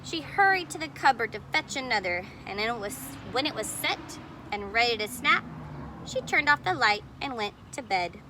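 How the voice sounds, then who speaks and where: A woman's voice: a little high, big pitch swings, moderate pace, background noise. A woman reading a picture book aloud expressively, explaining details to young listeners in casual asides. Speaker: storybook reader.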